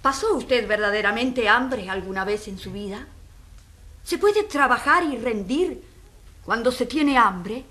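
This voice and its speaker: Earnest, high-voiced female advocate. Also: lively intonation, bright, forceful tone, full character voice. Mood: impassioned, earnest. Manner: rhetorical, emphatic, deliberate questioning. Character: female advocate arguing before a jury, earnest and impassioned. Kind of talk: Spanish-language radio courtroom drama.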